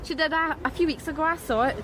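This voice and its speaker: A woman's voice: sits high, fast talker, fairly bright tone, slight background noise. An actress with a Scottish accent playing a chatty woman who works the streets, in a radio drama. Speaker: street sex worker questioned by police.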